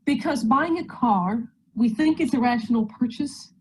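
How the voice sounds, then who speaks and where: A woman's voice: a little high, normal speed, slightly rough, poor audio. A woman from Sweden with a Swedish accent, giving a friendly, joking presentation over a video call. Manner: friendly, joking, slides through a talk. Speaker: guest presenter. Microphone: video call microphone.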